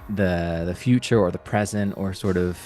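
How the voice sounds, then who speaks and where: A man speaking as a voice actor guest in a casual interview, halting and thinking aloud as he goes. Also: low-pitched, fairly even intonation, a little mellow, soft background music.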